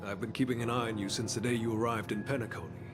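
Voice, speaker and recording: A man playing a modest, courteous gravekeeper in a video game, speaking in a calm, measured, understated way. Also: on the low side, over music, close to an everyday voice. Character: modest courteous middle-aged gravekeeper, calm guide of exiles. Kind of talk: dubbed video game story dialogue.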